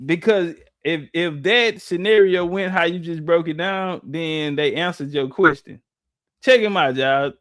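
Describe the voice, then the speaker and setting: A man's voice: on the high side, a little slow, fairly bright tone, no room sound, clean studio sound. A man giving brash, slangy, mocking reaction commentary straight to his viewers. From Southern United States. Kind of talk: casual profane reaction commentary.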